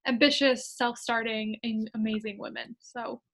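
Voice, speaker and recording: A woman's voice: fairly high pitch, level tone, normal speed, fairly bright tone, rough recording. A woman from upstate New York, a digital marketer and coach, chatting eagerly as an interview guest and laughing often. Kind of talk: casual interview.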